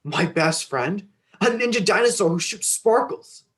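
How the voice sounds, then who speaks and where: A woman's voice: mid-range voice, lively intonation, a little slow, close to an everyday voice. A woman playing a defiant, blunt young boy in a dramatic script reading. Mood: defiant, excited. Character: defiant young boy squabbling over a blanket and fort.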